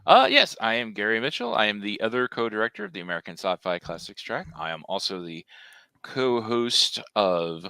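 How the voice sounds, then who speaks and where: Animated bright-voiced man, joking and theatrical. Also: lowish voice, a little fast, clear, resonant tone, dry recording. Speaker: convention track co-director and podcast co-host. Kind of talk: casual panel chat. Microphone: video call.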